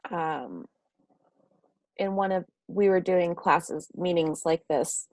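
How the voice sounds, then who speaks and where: A woman's voice: on the low side, takes it slowly, a little mellow, no room sound, low-quality recording. A woman, a student chatting with her group on a video call, friendly and laughing as she tells stories. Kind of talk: casual video call chat.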